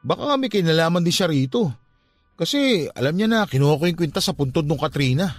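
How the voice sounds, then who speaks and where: A man's voice: a little high, animated, fast, faint music behind. A man playing a troubled, stubborn, grieving young nephew in an emotional Tagalog radio drama. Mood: uneasy, subdued. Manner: emotional, questioning.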